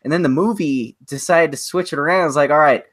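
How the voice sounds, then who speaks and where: A man co-hosting a podcast, chatting casually and giving opinions with a mock-exasperated, animated way of talking. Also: fairly high pitch, fairly quick, dry recording, clean studio sound. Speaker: podcast co-host. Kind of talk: casual opinionated chat about movies and games.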